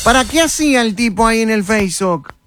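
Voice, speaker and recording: A man's voice: sits high, lively intonation, fairly quick, fairly bright tone, faint music behind. A man with a Uruguayan accent hosting a playful comedic phone interview, teasing and exclamatory as he questions his guest. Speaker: radio host interviewing a caller.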